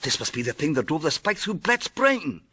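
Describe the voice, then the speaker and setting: An actor playing an excitable, exasperated British police inspector, blustering through a vintage-style radio drama. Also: male voice, on the high side, normal speed, husky, heavily acted. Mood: astonished. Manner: blustering, astonished.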